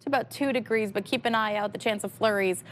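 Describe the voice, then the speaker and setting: A woman delivers a live weather forecast as a TV presenter. Her voice sits at an average pitch for a woman. There is some noise behind her. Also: fast talker.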